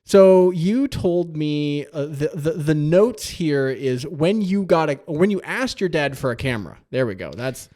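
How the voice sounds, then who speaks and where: Casual male co-host, slightly higher voice. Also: expressive, unhurried, hardly any pauses, warm tone. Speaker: podcast co-host, licensed counselor. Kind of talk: casual chat, interjecting questions and comments.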